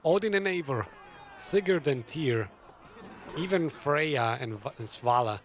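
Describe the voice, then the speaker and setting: A man's voice: medium pitch, expressive, a little slow. A man streaming a video game, giving casual, chatty commentary as he plays.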